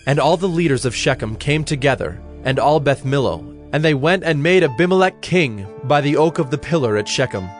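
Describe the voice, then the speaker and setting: A man narrating scripture from a script in a measured, solemn manner. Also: fairly high pitch, lively intonation, faint music behind.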